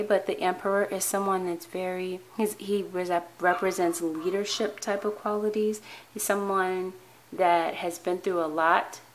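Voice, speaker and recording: Hoarse, low-voiced woman tarot reader. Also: a little hiss or hum. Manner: calm, earnest delivery with frequent restarts. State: hoarse, tired voice. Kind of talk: weekly tarot reading to camera. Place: indoor tabletop with cards, voice off camera.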